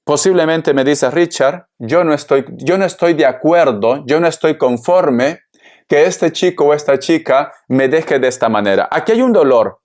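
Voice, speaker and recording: A man's voice: fairly high pitch, a little mellow. A man giving an informal tarot reading, addressing the listener with pet names and repeated phrases in a dramatic manner. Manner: dramatic, acts out imagined replies from the viewer. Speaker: tarot reader, host.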